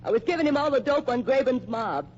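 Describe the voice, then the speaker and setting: A woman's voice: high-pitched, animated, fast talker, a little mellow, husky. An actress playing a defiant, frightened young woman spy in a radio crime drama, with an emphatic, melodramatic delivery. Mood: hard, defiant. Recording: dull lo-fi old radio recording, background noise.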